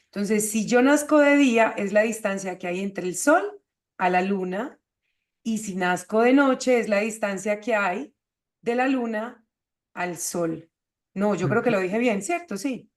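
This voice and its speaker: A woman's voice: medium pitch, unhurried. A woman hosting an explanatory conversation, teaching point by point and checking with short questions. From Colombia. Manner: didactic, checks with rhetorical questions. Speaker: host of an astrology show.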